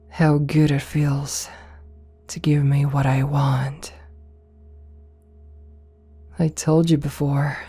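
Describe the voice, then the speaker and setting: A woman acts out a seductive, domineering lady CEO for an ASMR roleplay. Her voice is low, dark and mellow, soft and breathy to the edge of a whisper. She talks slowly and hypnotically, coaxing the listener with condescending, possessive affection. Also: exaggerated voice. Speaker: voice actor in an audio roleplay. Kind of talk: ASMR roleplay, intimate slow address to listener.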